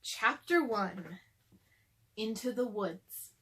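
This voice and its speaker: A woman's voice: medium pitch, animated, slow, sharp tone, poor audio. A young adult woman chatting casually to children and reading a storybook aloud to them.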